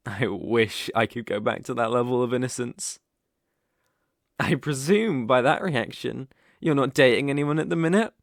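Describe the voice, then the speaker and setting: A man's voice: mid-range voice, moderate pace, no echo, close to an everyday voice. Man acting a cocky British college bully, close-up. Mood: amused teasing. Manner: teasing, condescending, swaggering. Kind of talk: ASMR roleplay acting.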